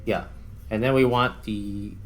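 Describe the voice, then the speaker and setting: A man's voice: on the low side, fairly even intonation, a little slow. A man giving casual gameplay commentary as a host, thinking aloud as he works. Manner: thinks aloud while working. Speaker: gaming video host. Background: background music.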